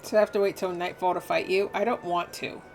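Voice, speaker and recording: A woman's voice: fairly low pitch, steady tone, fast talker, a little hiss or hum. A woman streamer giving casual, slangy, wry live commentary over a game.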